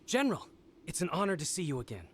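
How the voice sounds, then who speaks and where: Animated, higher-voiced male hunter. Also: fast, slight background noise. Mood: respectful. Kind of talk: dramatic video game cutscene dialogue.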